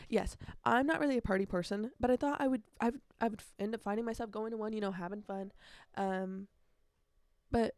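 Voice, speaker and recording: Woman, late teens, mid-pitched voice, chatty rambling podcast delivery, informal youthful slang, dry close recording. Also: darkish tone, dry recording. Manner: chatty, rambling, self-correcting. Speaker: podcast host. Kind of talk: casual solo podcast chat.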